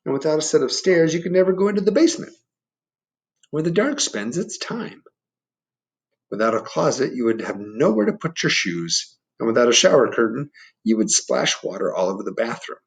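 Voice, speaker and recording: A man voicing a calm, gentle spirit of darkness in a storybook, coaxing and reassuring. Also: mid-range voice, moderate pace, creaky. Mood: gently reassuring.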